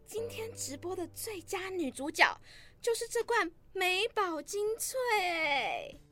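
A woman acts out a young female livestream seller in a radio drama, very high and bright in pitch and tone. She is bubbly and vain, coy with her fans and gushing over skincare, with music playing behind her. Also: very animated, takes it slowly, heavily acted. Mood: sweet sales pitch. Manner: cutesy, coy, gushing sales patter.

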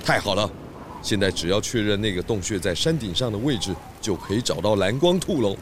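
A man playing a confident, thoughtful pig detective in a children's audio drama, explaining clues deliberately. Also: on the low side, animated, a little hiss or hum, natural voice. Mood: excited, discovering.

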